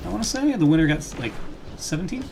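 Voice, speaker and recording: A man's voice: fairly high pitch, lively intonation, fast talker, gravelly. A man chatting casually while playing a video game on a home livestream, laughing often and reacting to the action. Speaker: gaming streamer and father. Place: home room. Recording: noisy home stream audio.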